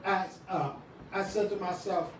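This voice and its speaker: A middle-aged man preaching a sermon in a small church, swinging from shouts to quiet talk with many restarts. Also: sits high, a little slow, a little hiss or hum. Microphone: far camera. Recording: echoey room, far from the camera.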